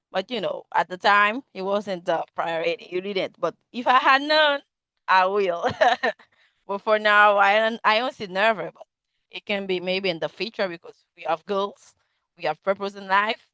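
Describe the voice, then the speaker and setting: Haitian woman, 30s, native creole speaker, rambly and laughy on a video call, clear voice, dry sound. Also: mid-range voice, bright, forceful tone, dry recording. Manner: rambling, laughs often, many fillers. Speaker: student participant. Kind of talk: casual conversation club answer.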